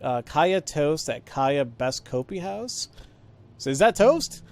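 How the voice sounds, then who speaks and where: A man with a fairly high-pitched voice gives off-the-cuff gameplay commentary. He rambles as he puzzles things out, mutters through bits of text and puts himself down. The recording carries some light background noise. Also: sing-song, takes it slowly. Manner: rambling, self-deprecating, mumbles through on-screen text. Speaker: let's player. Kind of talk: casual gameplay commentary, thinking aloud.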